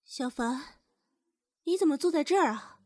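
A woman voice actor playing a gentle young woman haunted by grief in an audio drama. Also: high-pitched, animated, moderate pace. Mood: soft, caring. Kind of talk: Chinese audio drama acting. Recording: guitar music behind.

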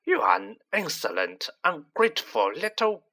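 A man's voice: medium pitch, huge pitch swings, a little slow, sharp tone, full character voice. A man voicing a boorish, snobbish middle-aged aunt in a storybook, with a blustering, contemptuous delivery. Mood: furious. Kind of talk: storybook character voice.

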